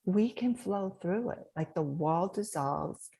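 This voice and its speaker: A middle-aged woman with a soft voice, talking as a podcast guest in an animated, coaching way, laughing often. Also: lowish voice, slow, gravelly, husky. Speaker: guest, author and speaker. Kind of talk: podcast interview talk.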